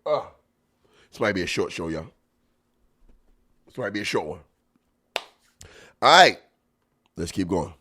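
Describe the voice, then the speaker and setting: A man hosting a podcast, a stand-up comic chatting and reacting to clips, with a stuffy nose and loud laughs. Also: low-pitched, unhurried, pauses often, a little hiss or hum. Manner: animated, laughs loudly, chatty slang. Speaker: podcast host and stand-up comedian. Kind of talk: casual chat reacting to clips.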